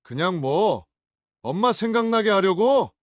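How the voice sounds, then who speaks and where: A man acting as a violent grown son, audio drama, hostile and contemptuous, high male pitch, dry close sound. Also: animated, a little slow, no echo. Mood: irritable. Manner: sneering, hostile and confrontational.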